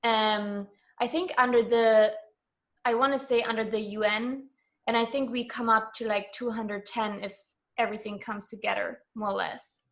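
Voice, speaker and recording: A woman from Germany as a guest in a casual interview, explaining things in measured detail. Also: on the high side, steady tone, fairly bright tone.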